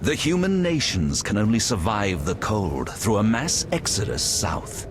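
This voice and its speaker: An actor playing a zealous, prophetic leader of an order in a fantasy game, a grandiose villain with a solemn, declamatory manner. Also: male voice, on the low side, huge pitch swings, measured pace, noisy background. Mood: grandiose self-justifying. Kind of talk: dramatic fantasy video game acting. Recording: music behind the voice.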